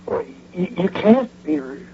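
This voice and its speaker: An actor playing a flustered, tactless old bank guard in a radio drama, stammering and hesitant in a folksy way. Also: male voice, high voice, sing-song, unhurried, dark tone. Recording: noisy dull vintage radio broadcast recording.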